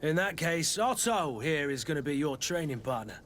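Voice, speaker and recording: An actor playing a gruff, loyal mercenary weapons master in a video game, wry and bantering. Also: male voice, on the high side, sing-song, normal speed, a bit bright.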